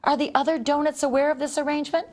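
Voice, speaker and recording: A woman voicing an excitable, indignant little male talking doughnut in a children's storybook, with a dramatic manner. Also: high voice, rapid-fire, pitched above the performer's own voice, natural voice. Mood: frantic, alarmed. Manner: dramatic, frantic, polite when pleading.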